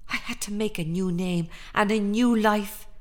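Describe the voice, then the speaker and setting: A woman's voice: mid-range voice, normal speed, lightly acted. An actress with an Irish accent playing an ordinary mother, haunted and fiercely protective of her child, in a lyrical radio drama style. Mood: tender, haunted. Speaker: actor. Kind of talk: radio drama monologue and dialogue.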